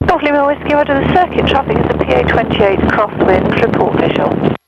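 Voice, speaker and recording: Very high, fast, creaky controller on noisy radio. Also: lively intonation. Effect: aircraft radio. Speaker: air traffic controller. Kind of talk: clipped aviation radio instructions. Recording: dull, noisy aircraft radio transmission.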